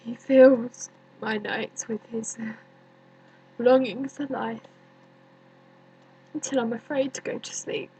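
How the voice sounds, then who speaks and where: A woman performing a dramatic stage monologue as a bitter, grieving young woman, deliberate and accusing, with many pauses. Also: fairly high pitch, fairly even intonation, dark tone, slight background noise, poor audio. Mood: sorrowful, haunted. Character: bitter grieving young woman haunted by her dead cousin.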